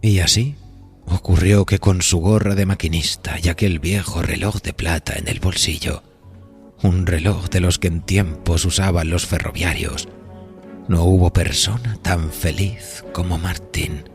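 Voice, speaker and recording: A man's voice: low voice, fairly even intonation, unhurried. A man narrating a scripted horror story in a dramatic storytelling style. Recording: faint music behind the voice.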